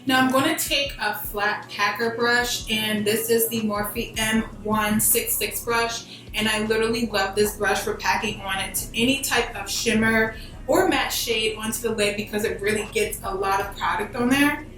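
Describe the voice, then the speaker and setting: A woman's voice: fairly high pitch, steady tone, normal speed, a bit bright. A young American woman giving a casual step-by-step tutorial in an informal, slangy, emphatic way. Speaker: beauty vlogger giving a makeup tutorial. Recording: very echoey room, distant mic, music behind. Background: background music.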